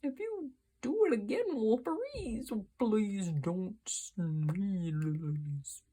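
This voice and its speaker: Woman voicing soft, pleading little fish. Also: mid-range voice, expressive, extremely slow, husky, full character voice. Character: timid, shivering school of fish.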